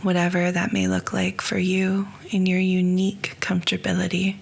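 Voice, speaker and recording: Meditation guide: woman, low, level and unhurried, near-whispered, gravelly. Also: completely flat delivery. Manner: soft, slow and hushed, often near a whisper. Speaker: yoga nidra guide.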